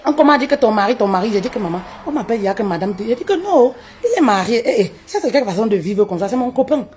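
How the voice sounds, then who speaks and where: A woman, telling her own story live, her voice jumping high for emphasis and dropping low and quiet. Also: rapid-fire, slight background noise. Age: 30s. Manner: expressive, swoops high for emphasis, drops low and quiet, laughs often. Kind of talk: animated personal storytelling. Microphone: phone on a live stream.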